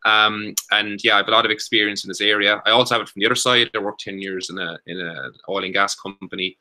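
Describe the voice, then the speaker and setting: An Irish man on a video call, voice sitting low and sounding very bright and forward. He talks haltingly, stopping and restarting often, with a flat, even tone. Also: rough recording. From Ireland. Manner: halting, many restarts and fillers. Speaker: panelist, co-working space founder. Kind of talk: online panel introduction.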